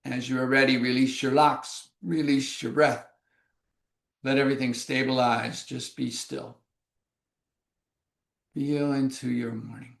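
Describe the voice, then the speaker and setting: A man leading a yoga class through breathing exercises, giving slow, calm, measured cues. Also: mid-range voice, creaky, echoey room. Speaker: yoga instructor. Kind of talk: guided breathing instruction.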